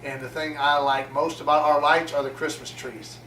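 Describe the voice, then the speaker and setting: Forty-year-old dad, home video intro, bright higher voice, roomy and distant sound. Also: male voice, level tone, moderate pace. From Atlanta, Georgia, USA. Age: 40s. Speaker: father interviewed about home Christmas lights. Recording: roomy echo, from some distance, faint noise.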